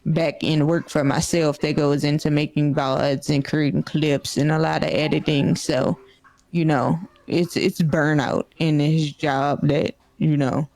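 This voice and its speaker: Low-voiced young woman, steady and explaining. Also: no room sound. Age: 20s. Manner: measured, explanatory, full of "you know". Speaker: guest streamer. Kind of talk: casual interview chat.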